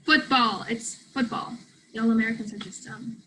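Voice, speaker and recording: A woman's voice: on the high side, fairly even intonation, moderate pace, sharp tone, a little hiss or hum, poor audio. A woman in a play reading playing a blunt young South American student who talks in a casual, slangy, sweary ramble. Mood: teasing, crude. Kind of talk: play reading, naturalistic conversational acting.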